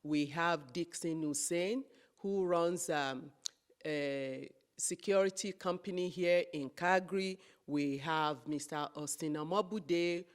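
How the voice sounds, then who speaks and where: A woman hosting an interview, speaking in a measured way with frequent fillers. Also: on the low side. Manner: slow, measured, with many fillers. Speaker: host.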